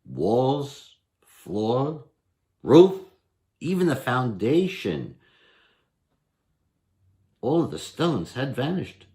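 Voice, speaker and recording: Storyteller: older man, mid-pitched, reads a tale expressively and takes his time. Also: big pitch swings, drawn-out words, pauses often, warm tone. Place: indoor room at home, by lit candles.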